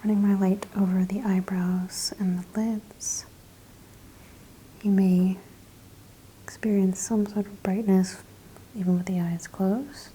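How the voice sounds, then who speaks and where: A woman whispering gently in an ASMR spa roleplay, softly and slowly describing each thing she does in a breathy voice. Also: mid-range voice, flat delivery, a little hiss or hum, low-quality recording. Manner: slow, gentle, step-by-step narration of actions. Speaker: ASMR performer playing a spa practitioner.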